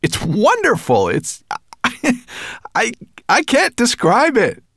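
A man's voice: lowish voice, steady tone, normal speed, darkish tone, dry recording, studio quality, pitched above the performer's own voice, close to an everyday voice. A man voicing an earnest, anxious young boy with a hesitant manner, in a children's storybook reading. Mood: amazed. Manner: hesitant, stammering when unsure. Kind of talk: children's audiobook character voice.

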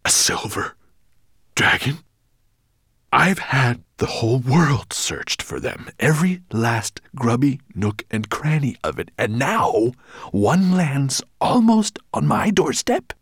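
A man's voice: medium pitch, very animated, takes it slowly, fairly bright tone, slightly rough, no echo, studio quality, pitched above the performer's own voice, heavily acted. A man voicing a cruel, imperious dragon master in a storybook, with a menacing, scornful growl. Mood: gloating, incredulous.

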